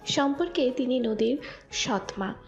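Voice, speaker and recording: A woman's voice: high-pitched, expressive, slow, muffled recording, faint music behind. A woman narrating a scripted romance story.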